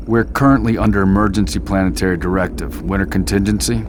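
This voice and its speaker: An actor playing a stern, unyielding military team commander in a video game cutscene, measured and commanding. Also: male voice, sits low, steady tone, background noise, lightly acted. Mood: quietly threatening.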